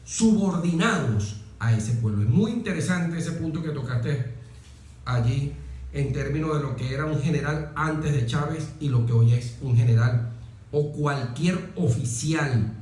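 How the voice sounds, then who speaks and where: A man with a mellow voice and a Venezuelan accent speaks in a strongly reverberant room. He talks in a deliberate, oratorical way, leaning on key phrases and repeating them, like a military officer being interviewed. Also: fairly high pitch. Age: 40s. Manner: deliberate, emphatic with pauses and repetition. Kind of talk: reflective, oratorical interview talk. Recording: very echoey room.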